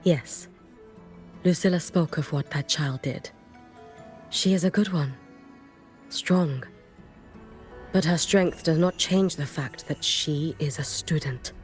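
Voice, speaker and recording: A woman voicing a solemn, compassionate elder in a video game, with grave, deliberate and terse phrasing. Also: low voice, soft background music. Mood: solemn, concerned. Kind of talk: scripted video game dialogue.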